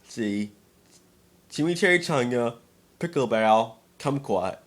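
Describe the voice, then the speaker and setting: A man narrating fan fiction as a dramatic reading, slightly halting and stumbling over words now and then. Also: mid-range voice, lively intonation.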